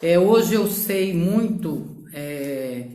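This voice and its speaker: A woman's voice: fairly low pitch, animated, extremely slow, mellow, roomy sound. A middle-aged woman from São Paulo state, telling her own career story in a casual live talk, reflective and full of fillers and tag questions. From São Paulo state, Brazil. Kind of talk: live social media talk.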